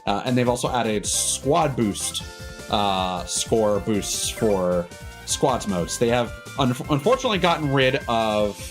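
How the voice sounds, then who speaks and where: A male live streamer talks in a medium-pitched voice over background music. He rambles casually through game commentary, self-deprecating and loose with swearing. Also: lively intonation, slow pace. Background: cartoonish upbeat game music.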